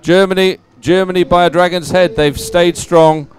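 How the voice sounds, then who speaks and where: A man's voice: high-pitched, steady tone, normal speed. A man giving live race commentary in an informal, conversational and encouraging way. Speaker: sports commentator.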